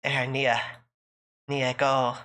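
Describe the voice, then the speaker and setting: A woman's voice: a bass voice, level tone, very slow, fairly bright tone. A woman hosting a casual group chat on a livestream, teasing her guests and laughing often. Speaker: stream host.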